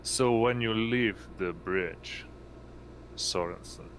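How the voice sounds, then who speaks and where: Deep-voiced, slow-paced male game master. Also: fairly bright tone, a little hiss or hum. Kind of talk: narrating an online tabletop roleplaying game. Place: indoors on an online voice call.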